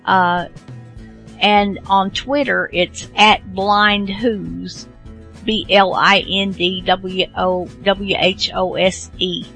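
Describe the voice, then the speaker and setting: A woman radio host with a bright, creaky voice talks at a slow pace. She rambles casually through an unscripted introduction, halting often, with music behind her. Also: mid-range voice. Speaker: host of an old-time radio show.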